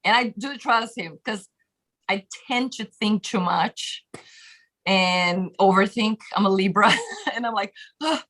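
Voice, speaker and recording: A woman with a Brazilian accent talks through a video call from a room at home. Her voice is bright and forward, her speech loose and chatty, full of fillers and easy laughter. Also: medium pitch, slow, poor audio. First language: Portuguese. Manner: animated, laughs often, many fillers. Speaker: guest musician. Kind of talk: casual interview chat. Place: bedroom home studio, indoors. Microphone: webcam video call.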